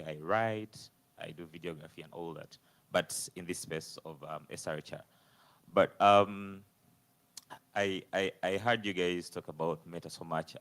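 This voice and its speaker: A young man from Uganda with a very deep, low voice. He asks his question from the audience hesitantly, pausing on fillers and restarting his sentences. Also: fairly bright tone. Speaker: audience member asking a question. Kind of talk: conference floor question.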